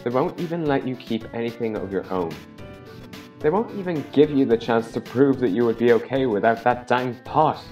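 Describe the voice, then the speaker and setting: A man playing a plain-spoken, sympathetic but blunt mediator, reasoning in a measured way in a fan comic dub. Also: medium pitch, darkish tone, highs cut off, soft background music, close to an everyday voice. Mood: sympathetic, frank.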